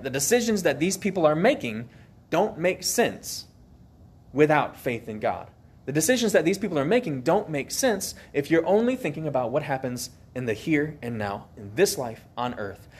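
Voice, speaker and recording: A man's voice: a little high, slightly rough. A man preaching an earnest, emphatic sermon, asking the listeners questions as he goes.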